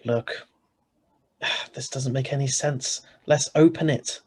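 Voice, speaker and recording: A man acts out a skeptical husband in a radio suspense play, with a mid-pitched voice. He is wry and sardonic, narrating like someone whose patience is wearing thin. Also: steady tone, slow pace, a little mellow, breathy edge. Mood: exasperated. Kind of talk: radio drama script reading. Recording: live video-call recording.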